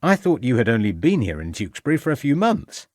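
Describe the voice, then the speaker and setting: Male reader as storybook detective inspector: man, brisk, formal, in command, lively intonation, dry close recording. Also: mid-range voice, no room sound, close to an everyday voice. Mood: brisk, impatient. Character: brisk, authoritative Victorian police inspector, male.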